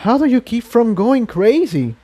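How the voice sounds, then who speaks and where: A man's voice: extremely high pitch, big pitch swings, moderate pace, warm tone, pitched above the performer's own voice, heavily acted. A man voicing an anxious, self-doubting female pony in a storybook reading, speaking earnestly and fretfully. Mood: curious.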